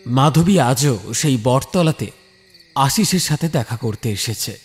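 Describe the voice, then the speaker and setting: A man's voice: medium pitch, expressive, unhurried. A man giving a dramatic scripted narration as the storyteller of a horror tale. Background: music bed.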